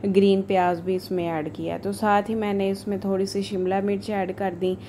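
Even-toned woman in a noisy recipe voice-over. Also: mid-range voice, normal speed. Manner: informal, chatty, homely asides. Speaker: home cook, cooking channel host. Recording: voice-over with clear background noise.

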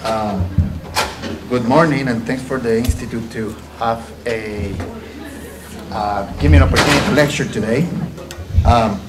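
A man in his thirties with a Puerto Rican accent lectures in a classroom, talking through a lapel mic. His delivery is halting and full of fillers and restarts, with a voice of middling pitch and faint background noise. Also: a crowd in the background. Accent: Puerto Rican Spanish with some Texas. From Puerto Rico. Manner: halting, repeats words, frequent fillers. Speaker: podiatrist lecturer. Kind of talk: medical lecture to students. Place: classroom with projector screen.

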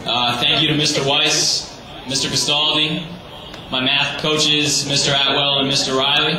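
A man's voice: fairly high pitch, flat delivery, a little slow, a crowd in the background. Teen boy giving a speech in an echoey hall. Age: teens. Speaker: graduating student. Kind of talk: graduation speech. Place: large hall or gym. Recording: echoey hall, far from the mic, noisy.

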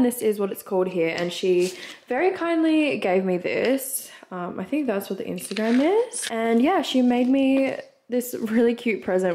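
A young Australian woman vlogger talks in a casual, gushing way at a medium pitch. She sounds like she is showing things to a handheld camera, with clear noise behind her voice. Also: a little mellow. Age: 20s. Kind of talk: casual vlog chat, unboxing.

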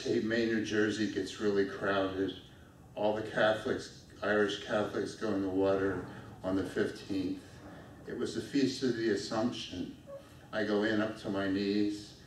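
A middle-aged man reading his own poem aloud at a lectern on a small dark stage, in a steady, measured way. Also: on the low side. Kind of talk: poetry reading. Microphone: stand mic at a lectern, filmed from far off. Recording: echoey room from far off, faint noise.

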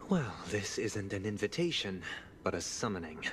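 An actor playing a composed, haughty young clan leader in a video game, formal, measured and coldly polite, with music behind him. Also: male voice, lowish voice, very animated, slight background noise. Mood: cold, courteous. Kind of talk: video game dialogue acting. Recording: clean studio voice over game music. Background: game background music.

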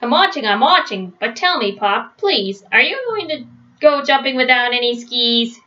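Eager, well-mannered boy bear, woman reader, picture-book read-aloud; bright, high, slightly lo-fi. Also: lively intonation, moderate pace. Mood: keen. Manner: keen, polite, questioning. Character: eager, polite young boy bear, gently cheeky. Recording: dull, lo-fi webcam sound.

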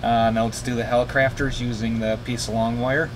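A man casually demonstrating his radio gear unscripted, haltingly searching for his words. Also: mid-range voice, flat delivery. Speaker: hobbyist demonstrating shortwave radios. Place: indoor home radio room. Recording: noisy room with air conditioner running. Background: air conditioner hum.

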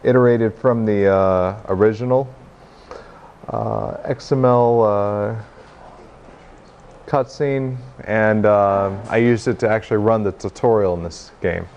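Low-voiced young man, halting delivery. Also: fairly even intonation, a little mellow, lo-fi sound, a little hiss or hum. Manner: hesitant, halting. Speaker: presenter, game developer. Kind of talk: informal tech demo talk. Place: indoor hackerspace meeting room, small stage.

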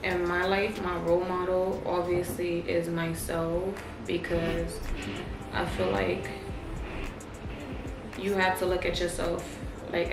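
A young woman from Haiti and Harlem, chatting loosely on camera with lots of slang and fillers. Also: fairly low pitch. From Haiti, then Harlem, New York. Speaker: YouTuber. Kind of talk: casual get-to-know-me chat. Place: living room, seated on a sofa. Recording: echoey room with loud music behind.

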